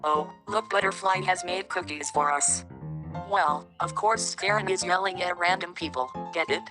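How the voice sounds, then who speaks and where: A woman's voice: fairly low pitch, normal speed, natural voice. A woman playing a sweetly snide children's show hostess, narrating a scripted cartoon pilot with music behind her. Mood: cheerful, snide. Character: chirpy children's show hostess with a mocking streak. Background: background music.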